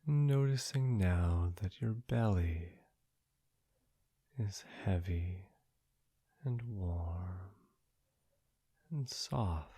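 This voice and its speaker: A man leading a guided sleep meditation in a soothing, gentle, hushed voice, breathy and often trailing into a near-whisper, with long pauses between short phrases. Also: sits low, animated. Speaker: meditation guide, podcast host.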